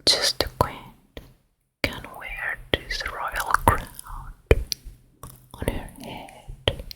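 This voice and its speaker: A woman voicing a vain, commanding fairytale queen in a hushed, deliberate whisper for an ASMR roleplay. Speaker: ASMR performer.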